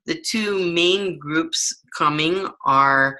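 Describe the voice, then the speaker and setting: Bright-voiced woman explaining carefully. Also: deep voice, extremely slow. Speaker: ESL teacher. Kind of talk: interview answers.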